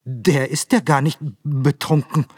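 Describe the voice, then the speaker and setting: A man's voice: fairly high pitch, fairly even intonation, a little slow, slight background noise, lightly acted. An actor playing a young, unsure policeman who stammers, in a radio play. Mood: baffled, hesitant. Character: young, unsure, simple policeman, easily awed. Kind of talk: German radio play acting.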